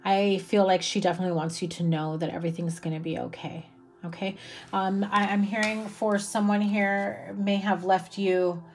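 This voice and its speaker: A young woman giving a casual tarot reading to camera indoors, slightly hoarse from a cough, chatty with many fillers and a soft, reassuring manner. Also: medium pitch, steady tone, moderate pace, soft background music. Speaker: tarot reader. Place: indoor room with a candlelit table.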